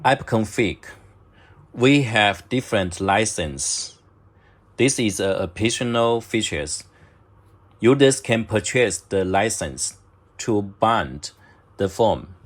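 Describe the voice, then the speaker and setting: Guy doing a slow device walkthrough, lowish voice, choppy short phrases. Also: animated. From China. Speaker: product demonstrator. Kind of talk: step-by-step instructional narration of a device menu.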